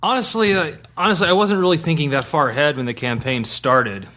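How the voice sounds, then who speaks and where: A man's voice: a little high, brisk, pushed and bright, a little hiss or hum. A man chatting casually as a podcast co-host, informal and sweary, with broken, restarted sentences. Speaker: podcast co-host and game player. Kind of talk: casual chat.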